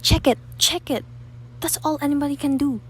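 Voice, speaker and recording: A woman's voice: normal speed, a little hiss or hum, lightly acted. A woman playing a nervous, demanding, self-pitying bedridden wife in a radio thriller, with a querulous, imperious manner. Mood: irritated, indignant. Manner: querulous, imperious, highly strung. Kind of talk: old-time radio thriller acting.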